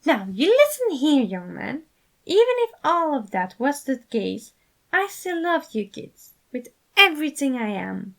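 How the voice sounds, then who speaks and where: A woman's voice: high-pitched, big pitch swings, measured pace, vocal fry, a bit bright, pitched above the performer's own voice, exaggerated voice. A woman voicing a loving, fatherly man who talks earnestly and emphatically in a fan fiction reading. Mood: stern, loving.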